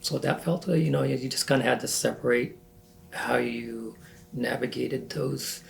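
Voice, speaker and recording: A man in his sixties from northern California, recalling his life in a halting, self-correcting way as an oral history interviewee. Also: medium pitch, fairly even intonation, creaky, faint music behind. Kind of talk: reflective recollection.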